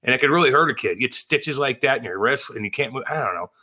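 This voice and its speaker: An American man with a bright, forceful voice at an average pitch, co-hosting a podcast. He is blunt and sweary, talking in loose slang. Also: expressive, fast talker. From Missouri, USA. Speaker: podcast co-host. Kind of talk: casual bantering chat.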